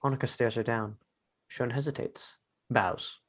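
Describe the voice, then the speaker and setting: A man's voice: mid-range voice, steady tone, unhurried. A man narrating a script, reading out stage directions in short phrases.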